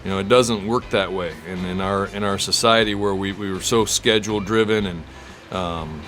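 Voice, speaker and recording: A man's voice: low voice, steady tone, moderate pace, a bit bright, soft background music. A man in his forties from the US Midwest, a surf teacher, speaking in a thoughtful, philosophical way. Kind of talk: reflective interview talk.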